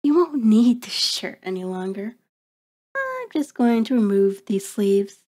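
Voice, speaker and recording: A predatory female vampire acted by a woman toys with her prey in an ASMR horror roleplay. Her voice sits a little high yet is very mellow and dark in tone. She speaks very slowly, with haughty, mocking, formal diction. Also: wildly swooping pitch, full character voice. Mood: smug, teasing. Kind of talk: ASMR horror roleplay, intimate scripted monologue.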